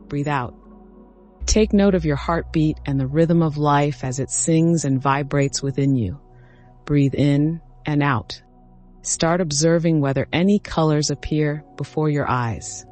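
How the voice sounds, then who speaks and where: A man narrating a scripted guided meditation as the guide, giving instructions in measured phrases with short cues. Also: a little high. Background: background music.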